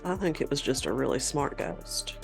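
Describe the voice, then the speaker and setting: A woman's voice: rumbling low, animated, moderate pace, vocal fry, soft background music, low-quality recording. A woman co-hosting a talk show, telling a story in a conversational way. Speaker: podcast host telling a paranormal story.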